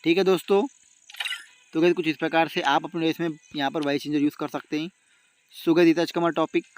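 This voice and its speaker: Indian man, slightly high-pitched, casual tutorial host. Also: level tone, fast talker. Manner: repetitive, frequently addressing viewers as guys. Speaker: YouTube tech tutorial host. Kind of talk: casual how-to tutorial narration.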